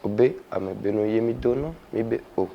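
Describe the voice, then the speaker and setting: A young man from Togo, a rapper being interviewed, answering with halting pauses and fillers. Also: lowish voice, highs cut off, slight background noise. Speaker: guest rapper.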